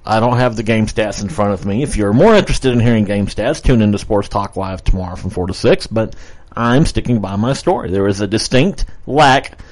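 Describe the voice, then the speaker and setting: A man's voice: lowish voice, fairly quick, a little mellow. A man co-hosting a local radio talk show, bantering playfully and laughing often. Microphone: studio microphone.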